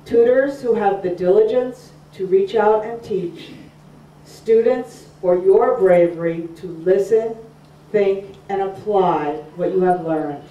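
Older woman, formal speech, slow and mellow; distant, dull-sounding mic in an echoey room. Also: medium pitch, a little hiss or hum. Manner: measured, formal. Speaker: program speaker. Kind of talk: scripted speech. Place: community room, at a podium. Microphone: podium mic, far camera.

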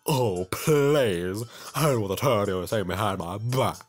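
A man voicing a haughty, bratty rich teenage gymnast rival with pompous, loud delivery. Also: on the low side, slow pace. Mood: scornful.

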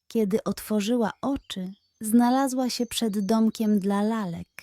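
A woman reads a children's bedtime story with gentle, careful expression. Her voice is mellow and slightly high, paced very slowly, and the sound is close and dry. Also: dry recording.

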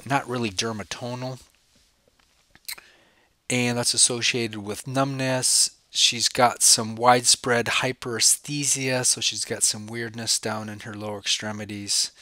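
A man's voice: fairly low pitch, sharp tone, no echo. A man with a cold, sniffling a little, giving a recorded slide lecture as a professor and putting questions to the listener that he then answers himself. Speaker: professor lecturing on spine cases.

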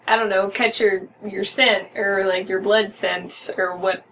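A woman's voice: medium pitch, steady tone, a little slow, gravelly, fairly bright tone. An American woman in her thirties chats casually as a podcast co-host, with wry, sweary commentary. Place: small room at home, indoors. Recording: distant mic, noisy room.

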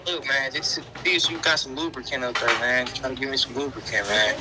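A man's voice: mid-range voice, steady tone, fairly quick, crowd noise. Slangy man on speakerphone, busy background. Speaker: prankster's phone caller. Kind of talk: casual slangy phone chat. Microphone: phone call played on speaker. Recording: speakerphone in a busy public store.

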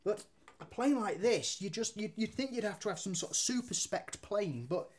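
A down-to-earth man in his thirties, his voice sitting a little high for a man. He talks through a woodworking demonstration in a brick workshop, loose and chatty, dropping mild swears and local slang as he works at the bench. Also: expressive, normal speed, a little hiss or hum. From England. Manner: talks while handling and planing wood at a bench. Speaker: woodworking teacher. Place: indoor workshop with brick walls.

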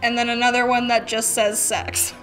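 A woman's voice: fairly high pitch, level tone, normal speed, music in the background, low-quality recording. A woman of twenty-two, chatting casually as she scrolls her sister's phone, often giggling. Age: 20s. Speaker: participant. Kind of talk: casual reality-show commentary.

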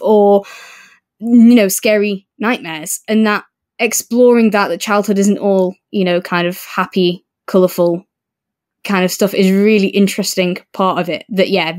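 A woman co-hosts a casual book discussion. Her voice sits at an average pitch, and she talks earnestly, giving her opinions plainly. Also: darkish tone. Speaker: podcast co-host.

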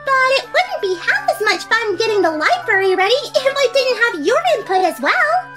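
A woman voicing an excitable, cheerful female cartoon party pony, gushing and breezy, in a story reading. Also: very high-pitched, moderate pace, voice pushed up. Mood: delighted. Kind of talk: fan fiction character reading. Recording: music playing behind the voice.

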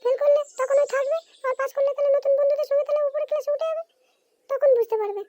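A woman voicing a nagging mother in an amateur cartoon, with a pitched-up voice and scolding, repetitive manner. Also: steady tone, racing, warm tone, slight background noise. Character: nagging mother of two schoolchildren.

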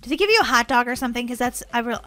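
A very high, bright-voiced woman hosts a gaming video with childlike excitement. Her commentary is playful and full of exclamations, swinging widely in pitch, with music behind her. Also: very fast, no echo.

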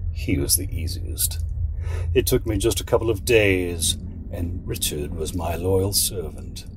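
A man playing a scheming, condescending cult mastermind in an audio drama, speaking in a dramatic, portentous way. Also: deep voice. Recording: noisy background ambience.

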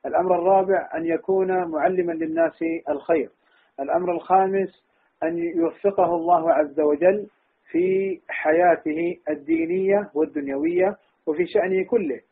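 A man's voice: fairly high pitch, rapid-fire, breathy edge. A man, an Islamic scholar, giving instructive religious advice off the cuff, over a tinny phone-like connection. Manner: enumerating, instructive. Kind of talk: religious advice, speaking off the cuff in a question and answer session. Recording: tinny, muffled phone-line sound.

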